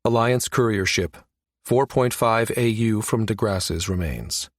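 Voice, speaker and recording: A man's voice: fairly low pitch, a little slow, no room sound. Male audiobook narrator, very dry sound. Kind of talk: scripted narration of science fiction audiobook.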